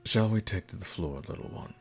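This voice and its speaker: A man playing a suave, predatory aristocratic vampire in an intimate ASMR roleplay, speaking formally and seductively with a teasing menace and breathy drops toward a whisper. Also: low voice, brisk, faint music behind. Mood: condescending, charming.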